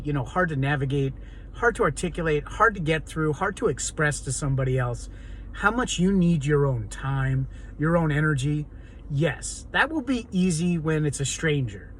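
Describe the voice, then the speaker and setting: A man talks in a raw, hoarse rasp, his voice sitting a little high. He rambles earnestly, full of ums and restarts, over faint background noise. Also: a bit bright. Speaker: vlogger. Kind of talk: unscripted personal monologue to camera.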